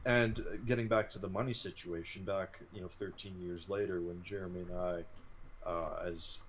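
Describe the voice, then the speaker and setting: A man's voice: deep voice, steady tone, normal speed. A man in his thirties chatting casually about gameplay as a co-host. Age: 30s. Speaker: co-host of gaming series. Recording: clear background noise and ambience.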